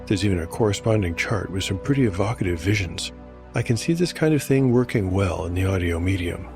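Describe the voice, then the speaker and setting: A man narrating a solo fantasy role-playing show as game master, in a measured, explanatory scripted style. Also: sits low, expressive. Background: music.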